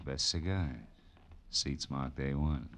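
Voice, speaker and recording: A man's voice: very deep voice, fairly even intonation, slow pace. An American man acting a laconic, wry private detective in a 1940s-style radio drama. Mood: smooth, guarded. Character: wry private detective, male, posing as a newspaper reporter. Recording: hissy vintage radio recording.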